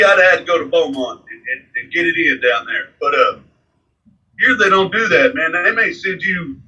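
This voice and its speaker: Forties guy, bright sharp voice, kinda far from the mic, rambles with lots of "man". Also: high voice, lo-fi sound. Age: 40s. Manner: rambling, frequent fillers like "man" and "you know". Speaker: guest. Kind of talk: casual interview.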